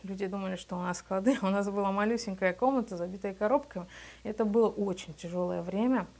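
Woman, 30s, from Belarus, gravelly edge, mid pitch, matter-of-fact, office interview, lapel mic. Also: quick pace, darkish tone. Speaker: immigrant business owner. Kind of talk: documentary interview. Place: small office, indoors.

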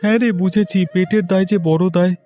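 A man's voice: sits high, fairly even intonation, fast, dark tone, faint music behind, natural voice. A man voicing a chummy, inquisitive young village friend in a cartoon, talking familiarly and teasingly. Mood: chummy, sympathetic. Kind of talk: cartoon dialogue, moral story.

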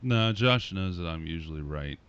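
A man's voice: low-pitched, expressive, normal speed, a bit bright, clear, resonant tone, no echo. A man co-hosting a casual podcast chat over a video call, with dry, self-mocking jokes. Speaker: podcast co-host. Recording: dull lo-fi online call audio.

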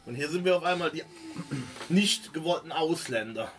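A man's voice: fairly high pitch, expressive, normal speed, a bit bright. A man playing a tabletop role-playing game, chatting casually in and out of role. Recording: distant mic with clear background noise.

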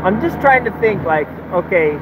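A man's voice: sits high, sing-song, moderate pace. A man in his thirties vlogging casually from inside his truck cab, talking in a loose, rambling, laid-back way into a phone held at arm's length. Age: 30s. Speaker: truck business owner vlogger. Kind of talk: casual talking-head vlog. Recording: phone audio with engine noise.

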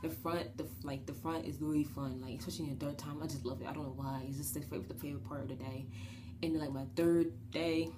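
In a small bedroom, a young woman with a low, raspy voice talks into her webcam and rambles through a storytime with plenty of fillers. Her voice sounds a little shaky, and the noisy home recording has a steady hiss under it. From New Jersey. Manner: rambling and animated, with many fillers and quick gestures. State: shaky voice after a breathing treatment. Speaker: vlogger. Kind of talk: casual storytime vlog. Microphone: webcam at desk distance.

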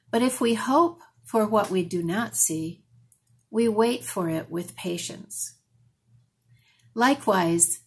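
An older woman reading a scripture passage slowly and carefully at home before a webcam. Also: mid-range voice, breathy edge. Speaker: lay reader. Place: home room, indoors.

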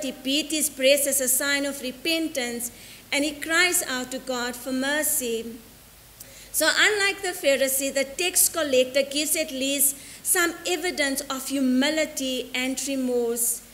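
A middle-aged woman priest preaches from the altar in a high, clear voice, measured and deliberate, slowing for each quotation. Her words ring out into the long reverb of a church sanctuary. A faint hiss sits under the recording. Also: a bit bright. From South Africa. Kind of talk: sermon. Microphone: stand mic at the altar, filmed by a fixed camera.

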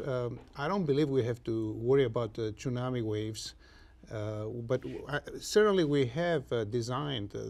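Male expert, fifties, average pitch, speaking off the cuff in a studio panel discussion. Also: darkish tone.

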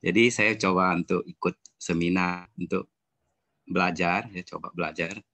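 A man presents at an online seminar. His voice is low and forceful, with a dry sound, and he goes haltingly, repeating phrases. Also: steady tone, dry recording. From West Kalimantan, Indonesia. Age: 50s. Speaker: student presenter. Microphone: video call.

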